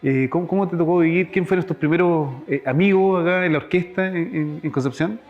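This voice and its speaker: A man's voice: fairly high pitch, brisk, phone-quality sound. A man with a Chilean accent hosting a radio interview, asking rambling questions with many hesitations. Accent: Chilean Spanish. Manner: hesitant questions full of fillers. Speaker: radio show host. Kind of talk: conversational interview.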